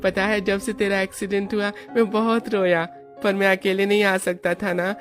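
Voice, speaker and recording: A woman's voice: mid-range voice, level tone, normal speed, faint music behind, lightly acted. A woman voicing a sweet, caring schoolboy in a cartoon story, eager and affectionate. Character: sweet, caring schoolboy visiting his sick friend.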